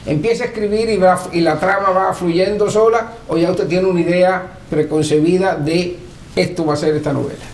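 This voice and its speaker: A man interviewing someone for an oral history, asking hesitant, deliberate questions. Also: high-pitched, fairly even intonation. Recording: echoey room with background noise.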